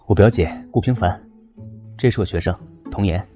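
A man's voice: low-pitched, normal speed, mellow, close to an everyday voice. A man acting a composed, reserved young law professor in an audio drama. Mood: calm, polite. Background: music.

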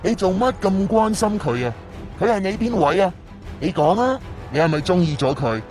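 An actor playing a smug, mocking master thief in a radio drama, sneering and taunting. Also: male voice, sits high, very animated, moderate pace, a little mellow, faint music behind. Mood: commanding, mocking. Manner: sneering, taunting, theatrical laughs.

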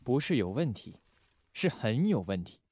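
A man playing a calm, shrewd secret-service station chief with understated authority in a radio drama. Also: mid-range voice, moderate pace, breathy edge, no room sound. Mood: wary.

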